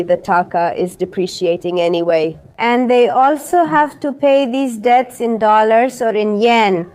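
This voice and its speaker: A woman travel vlogger explains things at a thoughtful, easy pace, with a soft, mellow tone. Her nose is stuffy and her throat is sore. She is recorded close on a noise-cancelling clip-on mic at an airport. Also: medium pitch. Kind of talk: casual travel vlog chat. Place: busy airport terminal. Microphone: wireless clip-on mic with noise cancelling.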